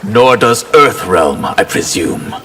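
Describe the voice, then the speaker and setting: An actor playing a bitter, proud fallen god in a fighting game, speaking deliberately and resentfully. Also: male voice, on the low side, big pitch swings. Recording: reverberant with loud noise.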